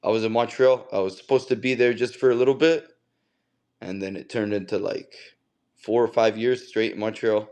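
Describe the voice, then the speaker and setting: A man's voice: fairly low pitch, fairly even intonation, moderate pace, a little mellow, clear, resonant tone. A man, a pro boxer chatting casually as a guest in an interview and telling stories in loose, slangy speech. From Canada. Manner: laid-back, slangy storyteller.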